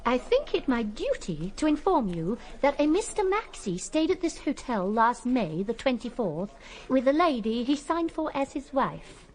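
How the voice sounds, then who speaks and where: A dutiful, genteel hotel keeper in a radio drama. Her voice sits fairly high and moves with animated intonation, every phrase formal and primly respectable. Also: normal speed, poor audio. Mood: prim, indignant.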